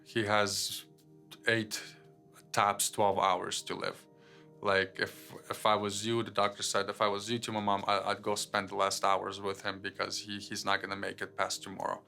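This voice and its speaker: A young man from Ukraine telling his life testimony unscripted, halting with many fillers and repeated words. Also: on the low side, monotone, gravelly, sharp tone, soft background music. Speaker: guest sharing his testimony. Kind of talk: personal testimony, unscripted storytelling.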